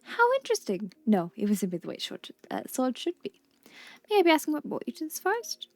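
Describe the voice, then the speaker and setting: A woman voicing a kindly male tea shop owner who speaks with formal, quaint, gently polite phrasing. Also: sits high, wildly swooping pitch, quick pace, dry recording, heavily acted. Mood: cheerfully curious.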